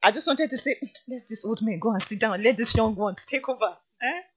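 A woman's voice: fairly high pitch, fairly quick, a bit bright, breathy edge. A woman presenting an on-location interview, effusive and repeating phrases for emphasis. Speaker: radio presenter visiting a school. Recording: dull lo-fi sound.

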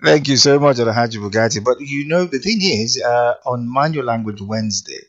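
A Nigerian man hosts a morning radio show in a lively, teasing voice and leans hard on his points. His voice sits at a middle pitch. The sound is a bit muffled. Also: a little fast. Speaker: radio host.

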